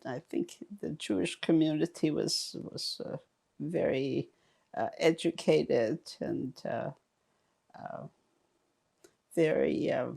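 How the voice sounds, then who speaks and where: A woman in her eighties speaks slowly and haltingly in an oral history interview, often pausing on fillers and restarting. Her voice is heavily gravelly and creaky. German was her first language. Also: low voice, mellow. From Romania. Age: 80s.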